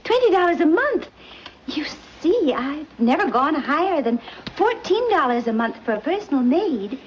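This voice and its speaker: A woman's voice: sits high, big pitch swings, normal speed, a little hiss or hum. A woman playing a fluttery, gushing wealthy society mother in an old film. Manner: fluttery, gushing, breathy little laughs. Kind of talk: 1940s film acting. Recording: dull old film soundtrack.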